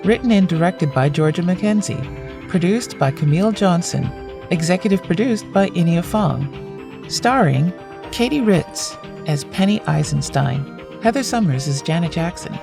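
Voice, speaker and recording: A woman's voice: on the low side, lively intonation, a little slow, gravelly. A woman reading scripted end credits for an audio drama as its announcer. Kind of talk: scripted narration. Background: music.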